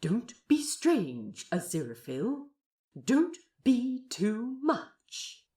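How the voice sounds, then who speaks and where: Woman playing a gentle, fussy man, very animated. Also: a little high, drawn-out words, exaggerated voice. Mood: anxious. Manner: courteous, softly enthusiastic, teacherly. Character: gentle, fussy, eager male aquarium guide. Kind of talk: fan fiction audio drama acting.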